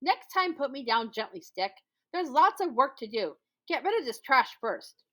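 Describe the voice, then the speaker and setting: A woman's voice: sits high, sing-song, moderate pace, a bit bright. A woman voicing a lazy, boastful male spider in a children's folktale, bossy and dramatic, with emphatic commands. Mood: grumbling, bossy.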